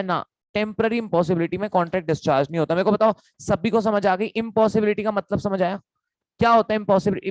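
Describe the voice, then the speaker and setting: A young man with an Indian accent and a sore, hoarse throat, teaching a chatty live online law lecture and repeating points to his students. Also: high voice, dry recording, poor audio. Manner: chatty, repetitive, calls students beta.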